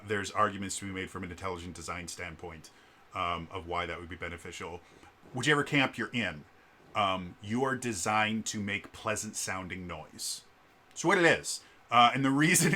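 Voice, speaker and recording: Low-voiced man vlogging about singing. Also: bright, forceful tone. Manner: halting, frequent fillers and stammered restarts; shifts to gravelly vocal fry demonstrations. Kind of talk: casual explanatory vlog.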